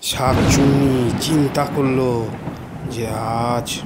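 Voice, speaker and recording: A man narrating a simple scripted cartoon story in short, halting phrases. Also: medium pitch, steady tone, very noisy.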